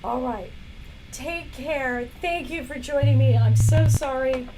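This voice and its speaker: Woman, live stream host, hoarse and fading voice, slow and chatty delivery, faint room noise behind. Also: high voice, warm tone, low-quality recording. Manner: chatty, apologetic, frequent coughs and throat clearing. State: hoarse, losing her voice. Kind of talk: casual product demo live stream. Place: indoor workplace studio.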